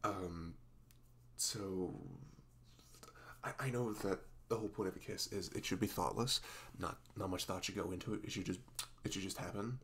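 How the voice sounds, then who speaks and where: A man playing a sweet, goofy, nervous young boyfriend in an intimate ASMR roleplay, halting, flustered and stammering as he talks. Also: deep voice, a bit bright, slight background noise. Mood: awkward, flustered. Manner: halting, flustered, stammering, apologetic, often giggly.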